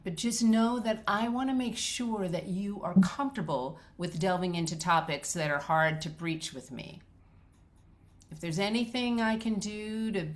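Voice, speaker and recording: An actress reading a script as a patient, supportive therapist, speaking in a measured, gentle, professional way. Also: female voice, medium pitch, natural voice. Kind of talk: dramatic script read.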